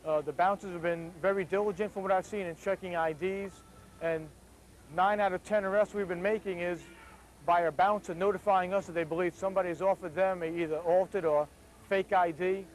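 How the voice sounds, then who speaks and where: A man's voice: high voice, steady tone, a little fast, low-quality recording. A male police officer explaining procedures and instructing people in a procedural, matter-of-fact manner. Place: busy street outdoors at night.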